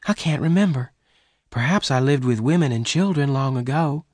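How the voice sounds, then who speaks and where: A man voicing a gentle, anxious, devoted young woman of the frontier in an audiobook story, with soft, earnest emotion. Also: mid-range voice, lively intonation, moderate pace, no echo, studio quality, voice pushed up, natural voice. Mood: hesitant.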